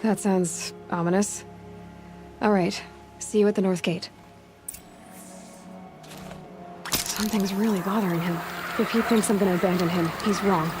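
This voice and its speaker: A woman's voice: mid-range voice, steady tone, normal speed, music in the background, natural voice. A woman playing a headstrong young outcast huntress in a video game, speaking directly with dry, questioning wit. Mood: determined. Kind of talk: video game cutscene acting.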